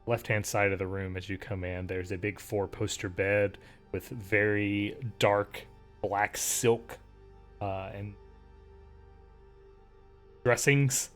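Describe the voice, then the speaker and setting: A man running a tabletop game as game master, describing scenes in a relaxed way with many pauses. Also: lowish voice, soft background music.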